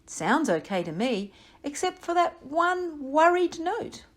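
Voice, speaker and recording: A woman's voice: high voice, very animated, a little slow, pitched above the performer's own voice. A woman voicing a tiny, kind but firm worm in a children's story. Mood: kindly firm. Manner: small, gentle, firm.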